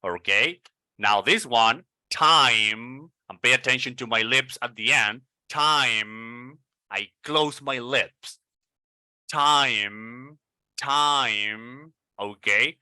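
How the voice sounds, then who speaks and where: A man from Venezuela with a Venezuelan Spanish accent, coaching English pronunciation and repeating model words slowly and deliberately. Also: medium pitch, bright, forceful tone, clear, resonant tone, dry recording, studio quality.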